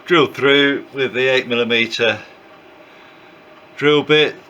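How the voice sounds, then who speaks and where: An older man with a croaky voice from a cold, narrating his build in a home workshop with many pauses and fillers. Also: medium pitch, lo-fi sound, a little hiss or hum. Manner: relaxed, hesitant with many fillers. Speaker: aircraft builder.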